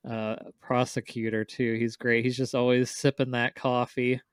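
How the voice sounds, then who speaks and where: A man's voice: mid-range voice, level tone, moderate pace, clear, resonant tone, dry recording, studio quality. A man chatting casually as a podcast co-host, enthusiastic and rambling about his favorites.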